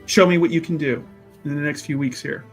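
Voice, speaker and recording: A man playing an ambitious, vindictive underground boss in a tabletop game, speaking emphatically and haltingly with many repeated phrases. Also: a little high, steady tone, soft background music, lightly acted. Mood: grandly approving. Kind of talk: improvised tabletop roleplay.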